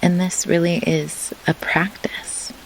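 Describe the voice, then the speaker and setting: A woman leading a guided meditation in measured, deliberate phrases with pauses, as a host who works as a grief doula. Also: on the low side, whispered, rough recording. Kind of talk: slow guided meditation instruction. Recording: clear pink-noise rain hiss behind. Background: pink noise like steady rain.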